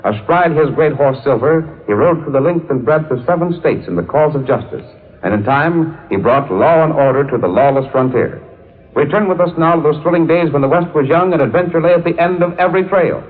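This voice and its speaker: A fairly high-voiced man narrates an old radio western in a stirring, declamatory way. The sound is thin and phone-like, as on a vintage broadcast. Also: a little fast.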